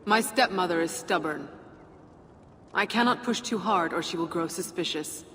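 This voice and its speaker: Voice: mid-range voice, moderate pace, a bit bright, natural voice. A performer playing a cool, dry female fire nymph in a fantasy video game, speaking formally in clipped, wry phrases. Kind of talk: video game cutscene acting. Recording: long reverb, some background noise.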